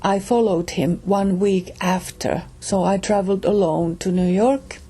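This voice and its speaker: Finnish lady telling her own story, slow and halting, soft mellow voice a bit breathy. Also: mid-range voice. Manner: slow, halting, with pauses and restarts. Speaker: reader and storyteller. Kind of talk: personal storytelling.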